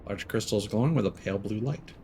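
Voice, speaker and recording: A man's voice: lowish voice, fast, a little mellow, a little hiss or hum. A man doing live gameplay commentary as a streamer, in a wry, sardonic manner. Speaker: game streamer. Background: video game sound.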